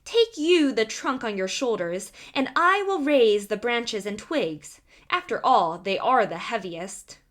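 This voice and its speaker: Woman voicing a cocky little tailor, animated pitch. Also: measured pace. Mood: cheerful, cocky. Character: cocky, cunning little male tailor, cheerful boaster. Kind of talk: storybook character voice.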